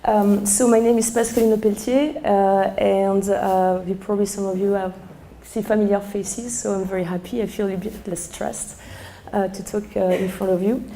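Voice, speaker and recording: A middle-aged woman with a strong French accent, a sommelier speaking live to a seminar room, hoarse from losing her voice and chatty with many fillers. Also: medium pitch, level tone, moderate pace, few pauses, warm tone, slight background noise. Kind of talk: live talk to a seminar audience.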